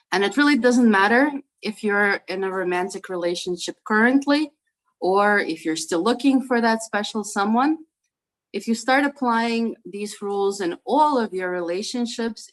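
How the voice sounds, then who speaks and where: A woman's voice: medium pitch, low-quality recording. A woman hosting an online discussion group over a video call, explaining things in a friendly way with frequent "um"s and "uh"s.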